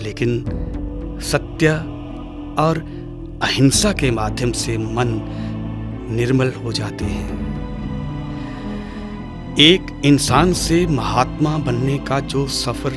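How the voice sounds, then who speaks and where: A wise father in a radio play, a man with a mid-pitched voice who speaks slowly and patiently. He instructs gently and weighs his phrases, with clear music playing underneath. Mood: earnest, instructive. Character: wise, patient father teaching his son Gandhian ideals. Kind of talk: radio drama acting in Hindi.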